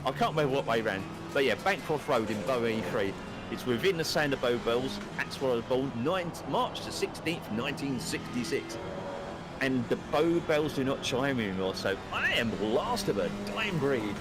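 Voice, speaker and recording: A Cockney man in his fifties from East London, commentating a sim race live in a chatty, excitable way, swearing casually. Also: mid-range voice, normal speed, over loud music. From East London, England. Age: 50s. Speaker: race broadcast commentator and streamer.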